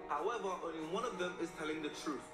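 A man delivers a scripted rules introduction for a challenge show. His voice is fairly high and roomy, with music clearly playing behind him. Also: racing. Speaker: presenter of online challenge show. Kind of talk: explaining game rules, scripted. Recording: roomy sound under loud music.

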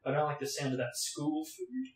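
A man voicing a fussy, doting middle-aged aunt in a soft, cooing tone, in a roomy space. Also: a little high, very animated, normal speed. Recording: roomy echo.

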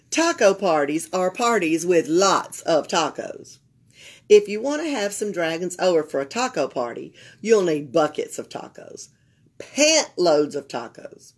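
Library storyteller: older woman, Southern US accent, creaky raspy voice, leisurely expressive read-aloud for children. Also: mid-range voice. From Alabama, United States. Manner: expressive, pausing to comment to young listeners. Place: indoors at a library table.

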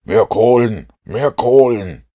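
A man's voice: mid-range voice, lively intonation, slow pace, mellow, voice pushed up. A man voicing a commanding, driven detective with an emphatic delivery in an audiobook. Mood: fierce, urgent.

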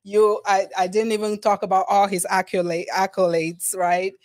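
A woman's voice: medium pitch, little pitch movement, normal speed, a little mellow. A woman hosting a podcast interview in a casual, playful way, laughing easily and affirming her guest, on a studio mic. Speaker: podcast host. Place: bookshelf-lined podcast studio. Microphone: studio mic on boom arm.

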